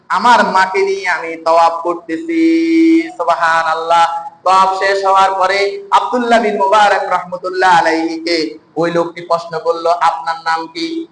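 A man's voice: high voice, fairly bright tone. A man preaching an Islamic sermon to a congregation, exhorting them and repeating his phrases for emphasis. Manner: exhortatory, repeats phrases, prompts listeners to respond. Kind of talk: sermon to a congregation, with religious stories. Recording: echoey room, faint background noise.

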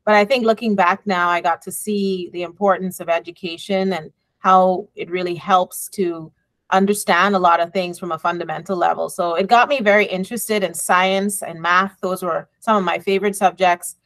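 A woman's voice: on the low side, fairly even intonation, a bit bright, no echo. A middle-aged woman from the Bahamas, an engineering professor and department chair, giving a reflective conference talk over a video call from her office, with frequent ums and you knows. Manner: reflective, measured, many fillers like um and you know. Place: office with bookshelves and window. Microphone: video call, laptop webcam.

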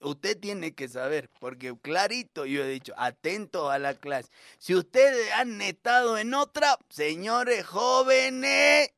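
Man doing a droning, pedantic schoolteacher, slow-paced. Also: high voice, animated, vocal fry, sharp tone, no echo, clean studio sound, voice pushed up, exaggerated voice. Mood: stern, indifferent. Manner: slow, pompous lecturing.

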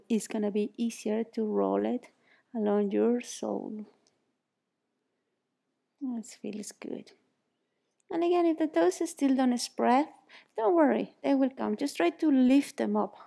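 A woman with a Mexican Spanish accent coaches a gentle foot exercise in a bright indoor yoga studio. Her voice is mellow and gravelly with a raised pitch. She keeps things soothing and encouraging and often sinks to a near whisper. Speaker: yoga teacher. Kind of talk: guided exercise instruction.

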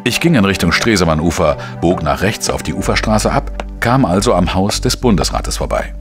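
An actor playing a wry, world-weary journalist who narrates a radio crime drama in a measured, dry manner. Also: male voice, low voice, lively intonation, music in the background. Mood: wry, reflective. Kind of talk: scripted first-person crime narration.

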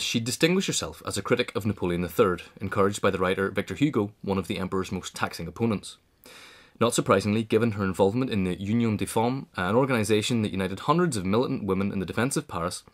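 Brisk man narrating a written biography. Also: fairly low pitch. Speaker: narrator, reader. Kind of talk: scripted biographical narration, read aloud.

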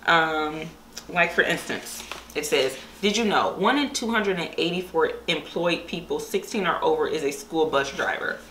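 Young woman, low-pitched, in echoey room. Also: normal speed, sharp tone. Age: young adult. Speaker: book vlogger. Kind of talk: casual book chat to camera. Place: small bare-walled room indoors. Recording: echoey room with faint background hiss.

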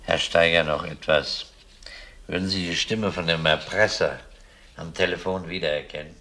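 Very deep-voiced shrewd detective. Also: male voice, steady tone, pushed and bright, heavily acted. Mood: sober, firm. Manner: measured, probing, outwardly courteous. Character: shrewd, methodical male police detective. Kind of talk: German crime radio play acting.

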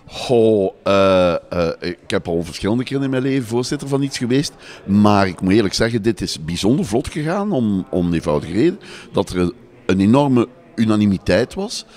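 An older man with a Flemish accent answers interview questions spontaneously, pausing often as he goes. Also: on the low side, big pitch swings, darkish tone. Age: older, around 60. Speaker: competition jury chairman, interviewee. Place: indoors in a panelled room. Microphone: handheld reporter microphone.

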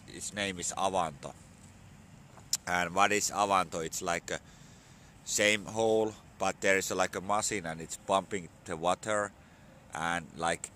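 A man in his forties with a Finnish accent, a bit hoarse from a cold, talking in halting English with many fillers into a phone held at arm's length outdoors. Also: low voice, a bit bright, slight background noise. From Finland. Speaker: vlogger. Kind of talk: casual outdoor vlog talk. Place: outdoors on a sunny spring morning.

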